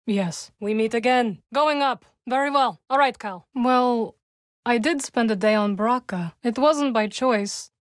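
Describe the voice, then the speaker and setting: A solemn young witch warrior from a video game, played by a woman. Her voice sits a little high and she chooses her words formally, with measured care and a guarded, wry edge. The sound is dry, with no room around it. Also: no room sound, close to an everyday voice. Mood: dry, composed. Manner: measured, formal phrasing with few contractions. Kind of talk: video game character dialogue.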